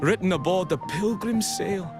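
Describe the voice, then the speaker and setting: An actor playing an excitable, gossipy townsman in a video game. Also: sits high, moderate pace, over music. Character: gossipy, excitable male townsman sharing rumors. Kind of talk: scripted game dialogue.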